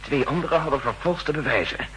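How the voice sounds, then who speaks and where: An actor playing a worried, earnest official seeking help, speaking formally and tensely in a 1960s radio drama. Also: male voice, fairly high pitch, a little fast, pushed and bright. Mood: anxious, earnest. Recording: noisy, humming old radio recording.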